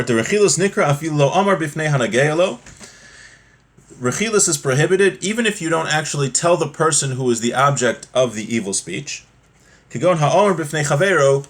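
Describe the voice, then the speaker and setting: A man with a mid-pitched voice teaches religious law in an informal lesson. He reads from a text and explains it in everyday, conversational language. He acts out examples and checks in with the listener as he goes. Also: fairly bright tone.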